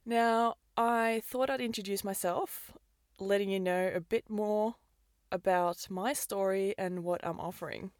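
An upbeat woman, hosting her podcast in a scripted monologue. Her pitch sits mid-range, and her enthusiasm comes through a close mic in a dry, dead room. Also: steady tone, unhurried, a little mellow, no room sound. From Norway. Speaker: podcast host and business coach.

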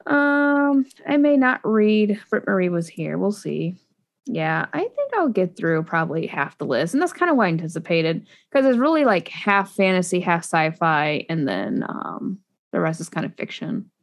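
Gravelly-voiced woman chatting about books. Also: lowish voice, big pitch swings, moderate pace. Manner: chatty, rambling, many fillers and restarts. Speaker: podcast co-host. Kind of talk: casual chat about books.

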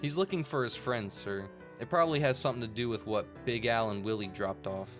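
A man voicing a calm, apologetic alien bug henchman in a story, casual and placating. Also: mid-range voice, brisk, soft background music, natural voice. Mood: deferential. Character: laid-back, apologetic alien bug henchman at office party.

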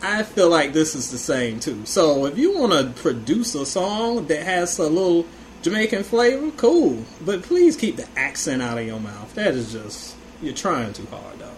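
Casual podcast co-host: American male, slightly high voice, opinionated and slangy, some noise behind him. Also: big pitch swings, normal speed. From Hampton Roads, Virginia, United States. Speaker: podcast co-host and music reviewer.

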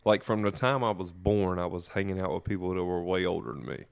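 Deep gravelly Southern man telling stories. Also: quick pace. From eastern North Carolina. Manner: rambling, profane, animated storyteller. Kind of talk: casual storytelling chat.

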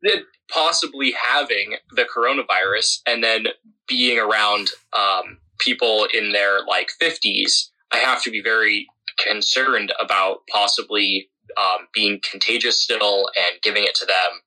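Man, 20s, wry, self-mocking, hesitant, very bright sharp voice, video call. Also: on the low side, unhurried, low-quality recording. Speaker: podcast co-host. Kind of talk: casual podcast chat.

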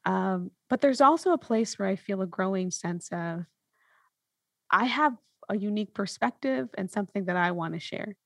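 A woman's voice: mid-range voice, lively intonation, moderate pace, gravelly, no echo, clean studio sound. A woman hosting a podcast, talking casually and reflectively, thinking through her points with lists and examples. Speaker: podcast host.

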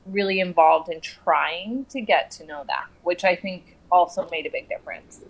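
A woman's voice: lowish voice, moderate pace, vocal fry, poor audio. An American woman from the southwestern United States talking casually in conversation as a guest.

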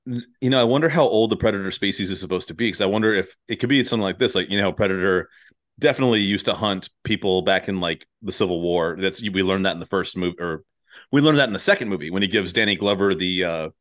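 A man with a fairly low voice hosts a casual comedic conversation. He rambles from thought to thought in a jokey way and swears freely. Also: a little fast, a bit bright. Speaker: podcast host.

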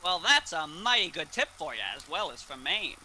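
A man's voice: fairly high pitch, sing-song, moderate pace, over music. A man playing a formal, promotional old-time radio announcer reading a scripted magazine ad. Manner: formal salesman delivery. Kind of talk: scripted 1930s radio ad read.

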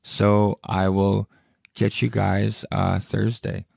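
A man's voice: on the low side, flat delivery, takes it slowly, gravelly, darkish tone, breathy edge, no echo, studio quality. A man hosting a solo podcast who is losing his voice, reading news aloud and commenting on it in a blunt, indignant, profane way. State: hoarse, losing his voice with a cough. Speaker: podcast host.

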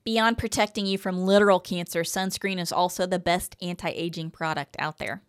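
A woman's voice: on the low side, fairly even intonation, normal speed, clean tone, no echo, studio quality. A woman in her fifties co-hosting a podcast, chatting casually and reading from articles. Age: 50s. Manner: chatty, reads quotes aloud then comments. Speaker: podcast co-host.

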